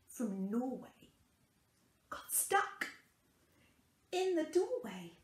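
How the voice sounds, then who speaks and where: A young woman reading a children's picture book aloud in a deliberate, sing-song way with dramatic pauses, recorded in a small room at home. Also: high voice, echoey room. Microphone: camera a couple of metres away.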